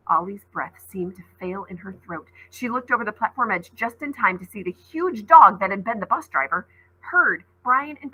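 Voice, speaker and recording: A woman reading a children's novel aloud as a teacher, in expressive storybook narration. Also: medium pitch, slightly rough, rough recording.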